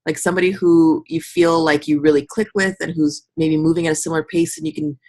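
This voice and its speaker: Low-voiced, slightly husky woman coaching a group. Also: fairly even intonation, fast, a little mellow. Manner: conversational, encouraging, often acting out example remarks in the listener's voice. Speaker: business team mentor and group coach. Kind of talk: informal coaching talk on a group review call.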